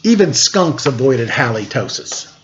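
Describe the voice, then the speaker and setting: A man's voice: medium pitch, sing-song, a bit bright, muffled recording. A man reading a picture book aloud for story time, playfully and without hurry, stopping for casual asides about the pictures. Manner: playful, unhurried, pausing to comment on pictures.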